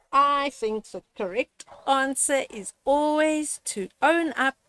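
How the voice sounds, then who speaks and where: An older woman reading a picture book aloud to young children and explaining its lessons in a gently instructive way, speaking to them directly. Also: sits high. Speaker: storyteller reading children's books. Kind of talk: read-aloud picture book with moral commentary.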